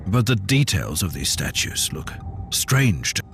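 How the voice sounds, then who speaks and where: Low-voiced, stern sci-fi inquisitor. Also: male voice, very animated, music in the background, heavily acted. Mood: reflective. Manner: measured, dry, authoritative. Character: stern, self-assured male sci-fi inquisitor, dryly wry. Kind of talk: dramatic video game voice acting.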